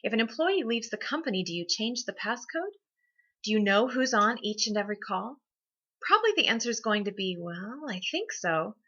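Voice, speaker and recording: A woman's voice: medium pitch, sing-song, normal speed, pushed and bright, slightly rough, dull audio. A woman hosting a scripted instructional segment, presenting in a structured way with emphasis on key points. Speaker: host of a business tips segment.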